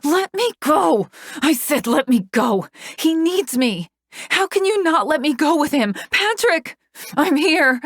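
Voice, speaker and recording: A woman's voice: high voice, big pitch swings, slow, no echo, clean studio sound, voice pushed up, heavily acted. A woman voicing a desperate, angry young woman patient who screams and pleads. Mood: frantic.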